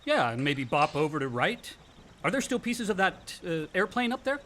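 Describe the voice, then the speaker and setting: A man's voice: high-pitched, very animated, normal speed, a bit bright, slight background noise. A male actor playing a moody, self-deprecating young grad student son with wry delivery in a radio play. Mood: subdued. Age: young adult. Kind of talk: naturalistic radio drama dialogue.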